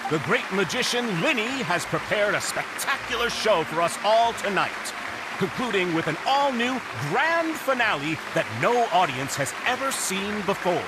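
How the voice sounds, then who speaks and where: A man playing a theatrical stage announcer with a booming showman delivery, over loud music and a crowd. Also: big pitch swings, moderate pace, very noisy. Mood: grand.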